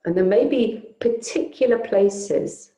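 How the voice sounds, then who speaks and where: A woman teaching yoga nidra, guiding a relaxation in a soothing, unhurried, gently inviting way with long pauses. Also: mid-range voice, echoey room, rough recording. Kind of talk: guided relaxation meditation.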